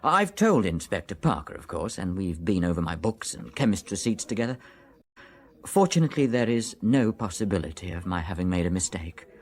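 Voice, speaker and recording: An actor playing an uneasy, reserved physician with an English accent and a clipped, hesitant manner in a radio drama. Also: male voice, low voice, very animated, a little fast, full character voice. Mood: worried.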